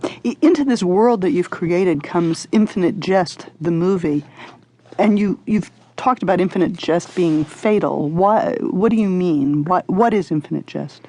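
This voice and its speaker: A woman's voice: fairly low pitch, measured pace, warm tone. A woman working as an interviewer, asking questions and offering observations in a conversational style.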